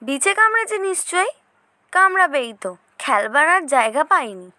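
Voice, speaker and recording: A woman playing a teasing, sceptical older sister who questions briskly in an audio story. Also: sits high, big pitch swings, bright, forceful tone, exaggerated voice. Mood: sceptical, curious. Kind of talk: Bengali audio story acting.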